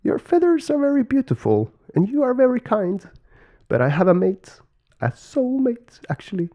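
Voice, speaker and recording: A sweet, polite storybook ladybird lady, voiced by a man. The voice is lifted very high and swoops gently. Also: wildly swooping pitch, moderate pace, heavily acted. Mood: gently apologetic.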